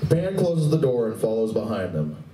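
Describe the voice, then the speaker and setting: A man's voice: lowish voice, sing-song, normal speed, background noise. A man reading scripted narration for a live radio play, recorded at a distance in an echoey room. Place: large indoor room at a convention.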